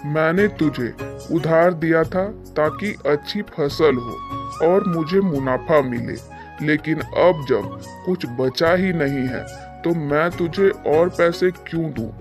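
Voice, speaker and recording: Cartoon moneylender, stern and dismissive, rich village boss, unhurried delivery, light music underneath. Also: male voice, a little high. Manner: scolding, dismissive. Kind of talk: moral-story cartoon dubbing. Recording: music behind the voice. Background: background music.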